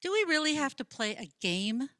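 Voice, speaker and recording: Woman playing wistful, protesting librarian wife, fifties, radio play; highish animated voice, dry sound. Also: normal speed, no echo, exaggerated voice. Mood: reluctant. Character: reluctant, pensive fifty-year-old librarian wife.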